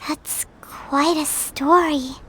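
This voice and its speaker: A woman voicing a tiny, earnest, childlike female fairy companion in a video game, with a squeaky voice. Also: steady tone, extremely slow, a bit bright, heavily acted, poor audio. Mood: puzzled. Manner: simple, earnest, speaks of herself in third person. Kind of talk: video game cartoon-like character voice acting. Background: prominent background music.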